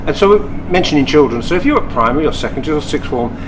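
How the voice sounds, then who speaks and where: A man's voice: on the high side, expressive, rapid-fire. A man working as an interviewer, asking questions in a casual, conversational style. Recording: clear background noise.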